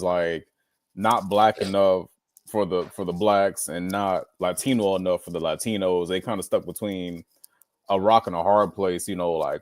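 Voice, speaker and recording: Casting: male co-host, lower voice, easygoing, joking, dry sound. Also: a little mellow, no echo. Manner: laid-back, joking, lots of "like". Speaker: podcast co-host. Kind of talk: casual chat.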